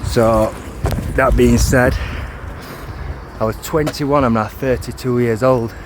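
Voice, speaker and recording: A man's voice: medium pitch, steady tone, slow pace. A man, talking to camera outdoors by a street, repeating and correcting himself insistently. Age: 30s. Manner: repetitive, restarting, insistent. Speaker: vlogger. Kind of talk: first-person video to camera. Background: traffic noise.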